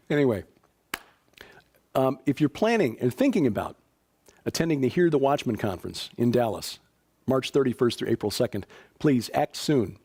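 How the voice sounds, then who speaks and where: A man's voice: mid-range voice, big pitch swings, measured pace, long pauses, darkish tone, husky. Chatty man hosting a news show. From Chicago, USA. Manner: conversational, with fillers and dry asides. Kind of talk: casual news commentary.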